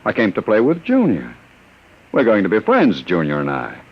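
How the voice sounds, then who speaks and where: An actor playing a smooth, menacing gangster who talks in a cold, mocking way in a 1950s radio drama. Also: male voice, fairly low pitch, wildly swooping pitch, moderate pace, heavily acted. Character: smooth menacing male gangster, middle-aged. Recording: muffled old radio broadcast.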